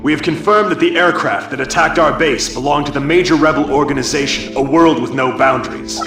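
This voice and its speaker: Brief: actor as stern briefing officer in a war game, fairly high male voice, echoey, loud score. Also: a little fast, lightly acted. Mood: formal, stern. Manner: formal, authoritative. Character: stern military briefing officer, formal and commanding. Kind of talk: scripted mission briefing. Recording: roomy, distant, under loud music.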